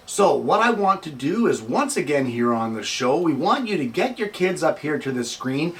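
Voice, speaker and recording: A man hosting a show for families and reading a children's picture book aloud in an expressive, measured way. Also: a little high. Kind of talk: casual introduction, then expressive storybook read-aloud.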